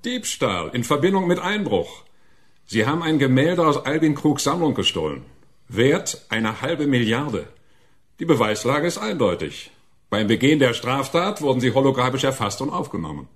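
An actor playing a loud, gruff and officious police chief inspector in a radio drama. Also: male voice, medium pitch, big pitch swings, normal speed, natural voice. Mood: stern, accusing.

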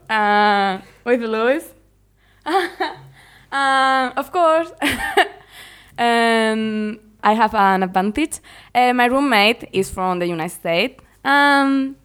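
A young woman whose first language is Spanish answers interview questions in careful learner English. She speaks slowly and haltingly, her voice smooth and clear. Fillers and little laughs run through her talk. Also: fairly high pitch, a bit bright. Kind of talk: casual interview chat.

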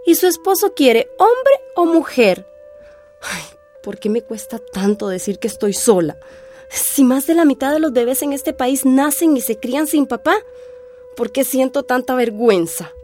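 A woman's voice: high-pitched, big pitch swings, normal speed, darkish tone, soft background music. A woman acting an anguished, lonely young pregnant woman in a radio soap opera, with an emotional, confiding delivery full of sighing exclamations. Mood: ashamed, distressed.

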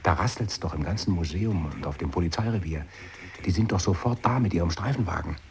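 A man's voice: low voice, brisk, darkish tone, a little hiss or hum. An actor playing a sceptical, grumbling man who questions his pal in colloquial, incredulous radio play dialogue.

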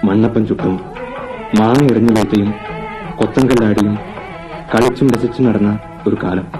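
A man playing a gentle, regretful wanderer come back to his childhood sweetheart in a Malayalam radio drama, earnest and poetic. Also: lowish voice, steady tone, over loud music, natural voice. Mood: nostalgic. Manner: earnest, poetic, measured delivery.

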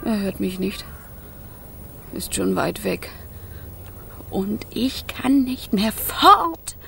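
A woman's voice: mid-range voice, animated, extremely slow, gravelly, bright, forceful tone, a little hiss or hum, full character voice. An actress playing a wild, impatient young female fire spirit, playful and yearning, in theatrical children's radio play style. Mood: dejected. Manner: theatrical, exclaiming.

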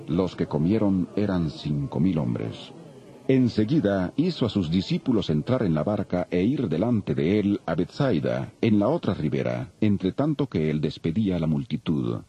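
A man with a deep, mellow voice narrates the Bible solemnly. His delivery rises and falls expressively, with measured phrasing, in a slightly dull recording. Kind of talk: dramatized scripted Bible narration. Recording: dull lo-fi audio, faint music.

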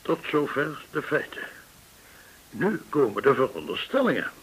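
An actor playing a courteous, shrewd, well-connected informant in a Dutch radio drama, speaking in a formal, deliberate way. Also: male voice, mid-range voice, huge pitch swings, rough recording. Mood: measured explaining. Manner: formal, measured, deliberate explaining. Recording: hissy old radio recording.

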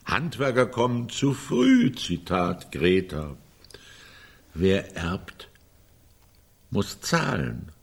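Sardonic, husky, middle-aged older brother. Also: male voice, sits low, wildly swooping pitch, drawn-out words, pauses often, full character voice. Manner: dry, sarcastic, emphatic repetitions. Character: pragmatic, sardonic older brother, a business consultant. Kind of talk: German radio play acting.

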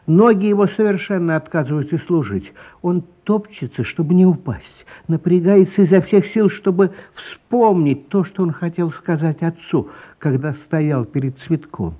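A man's voice: on the high side, moderate pace, hardly any pauses. A man giving a dramatic, scripted literary narration. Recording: dull lo-fi radio, music behind. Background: music.

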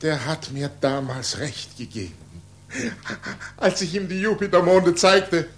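An actor playing a passionate, stubborn astronomer in an emphatic, declamatory 1940s German radio style. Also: male voice, fairly high pitch, expressive, unhurried. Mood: excited, impatient. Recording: 1940s radio drama recording.

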